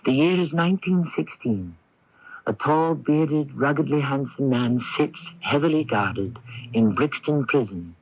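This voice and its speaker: A man narrating a radio drama as host, in a solemn, measured, scripted manner with dramatic pauses. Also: mid-range voice, expressive, husky, no room sound. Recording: muffled 1980s AM radio tape.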